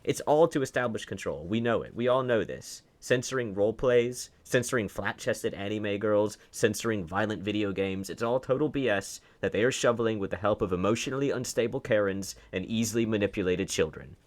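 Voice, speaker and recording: Guy with a lowish voice doing opinion commentary, sarcastic and argumentative. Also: fairly quick, few pauses. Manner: argumentative, sarcastic, rhetorical questions. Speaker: online commentator.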